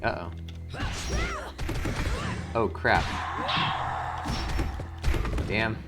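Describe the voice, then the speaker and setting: A man's voice: lowish voice, steady tone, extremely slow, loud music behind the voice. A man hosting a gaming video with casual, informal commentary, thinking aloud as he plays. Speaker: gaming channel host.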